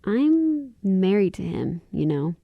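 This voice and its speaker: A woman's voice: mid-range voice, big pitch swings, slow, vocal fry, no room sound, narrow, tinny audio, exaggerated voice. A woman playing a kind, earnest and plain-spoken young woman in a radio drama. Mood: wistful. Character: kind, candid young woman with a wistful side.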